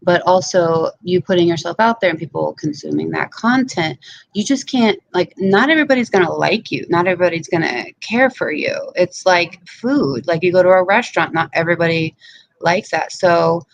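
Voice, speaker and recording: Laid-back, rambling panelist with a heavily gravelly voice, muffled mic. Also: mid-range voice, lively intonation, moderate pace. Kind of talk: casual panel chat. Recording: dull lo-fi mic, faint noise.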